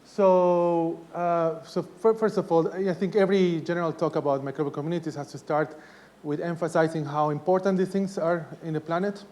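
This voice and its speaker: A man in his forties from Ecuador giving a university lecture, speaking softly because of a sore throat, halting with many restarts and fillers. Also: a little high, steady tone.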